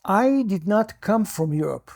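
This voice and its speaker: Older Iraqi-born man, halting recollection. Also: high-pitched, expressive, creaky, a little mellow. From Iraq. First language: Judeo-Arabic. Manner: halting, many restarts and repeated words. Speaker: interview guest. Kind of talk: personal recollection.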